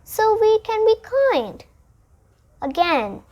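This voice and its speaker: A child reads a children's picture book aloud, deliberately, phrase by phrase. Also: very high voice, big pitch swings, highs cut off. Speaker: storybook reader.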